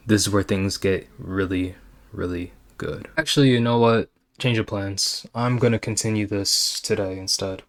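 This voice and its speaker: A man's voice: low-pitched, fairly bright tone. A man hosting a video essay, moving between scripted commentary and casual asides. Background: background music.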